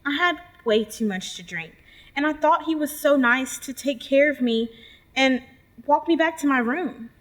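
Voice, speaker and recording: High-voiced young college woman, shaken, acted by a woman. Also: moderate pace, natural voice. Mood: wistful, confiding. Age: young adult. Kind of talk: readers theater monologue.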